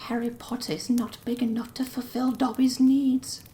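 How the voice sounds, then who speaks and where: A man voicing an eager, pleading male house elf with a squeaky, breathless voice who speaks of himself in the third person. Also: level tone, moderate pace, warm tone. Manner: talks of himself in third person, says sir often.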